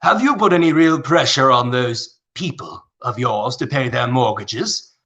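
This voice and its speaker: A man's voice: medium pitch, expressive, a little slow, bright, forceful tone. A man playing a mean, haughty rich old villain in a radio play, sneering and imperious. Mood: cold contempt.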